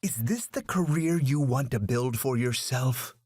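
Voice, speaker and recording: A man's voice: mid-range voice, lively intonation, unhurried, darkish tone, slightly rough, no room sound, studio quality. A man playing a harsh, shamed father who scolds his family with furious scorn.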